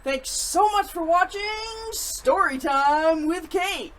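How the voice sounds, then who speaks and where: A woman's voice: very high-pitched, takes it slowly. A woman hosting a children's story-time show, reading picture books and reviewing them in an enthusiastic, theatrical presenter's manner. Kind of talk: picture book read-aloud and upbeat review.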